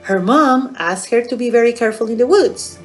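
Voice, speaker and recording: A woman narrating a storytime for young children as a library storyteller, in a simple, expressive manner. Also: fairly high pitch, normal speed, soft background music. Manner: expressive, simple, asking the listeners questions.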